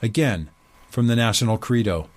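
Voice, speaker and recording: A man hosting his own commentary show, talking emphatically, slowing to stress key words and dropping low at the ends of his points. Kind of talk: opinionated commentary reading excerpts aloud.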